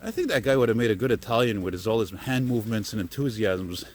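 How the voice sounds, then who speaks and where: An older man rambles through a walking vlog in a deep baritone. He talks outdoors on snowy streets into a phone on a selfie stick. A faint hum of street noise sits behind him. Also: sing-song, fast. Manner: chatty and meandering, with mild swearing and self-deprecating asides. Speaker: vlogger.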